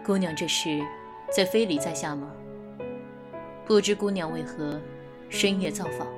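A woman's voice: fairly low pitch, a little slow, close to an everyday voice. A woman playing a reserved, courteous young male court official in a Chinese period radio drama, formal and easily flustered. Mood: restrained. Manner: formal, polite, restrained. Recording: loud music behind the voice.

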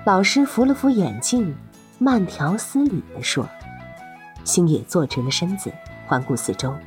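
A woman's voice: on the low side, sing-song, a little slow, darkish tone, soft background music. A woman narrating a serialized fantasy audio drama as its host, in a dramatic scripted storytelling style.

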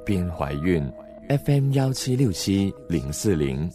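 Lively male podcast host over music. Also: low voice, a little slow. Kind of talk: casual recommendation show talk. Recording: music behind the voice. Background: background music.

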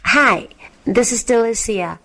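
A woman's voice: a little high, slow pace, bright, forceful tone. A woman from China, a university English teacher, leaving a formal, earnest recorded message for a podcast. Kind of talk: recorded listener message, read aloud.

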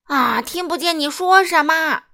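A brusque storybook shopkeeper, acted by a woman, bellows in a raised, high-pitched voice. He is impatient and curt, booming every line. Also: unhurried, creaky, full character voice. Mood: impatient, dismissive. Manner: shouts curtly.

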